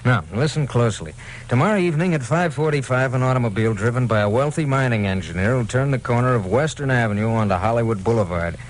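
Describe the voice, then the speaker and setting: An actor playing a calculating, crooked attorney in a vintage radio detective drama, suave and persuasive. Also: male voice, medium pitch, quick pace, natural voice. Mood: scheming, assured. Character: smooth-talking crooked male attorney, calculating and commanding. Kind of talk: 1940s-50s radio detective drama acting. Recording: noisy vintage radio recording.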